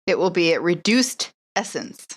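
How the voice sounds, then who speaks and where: A woman playing a tabletop roleplaying game in character, with polite, measured phrasing. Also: lowish voice, expressive.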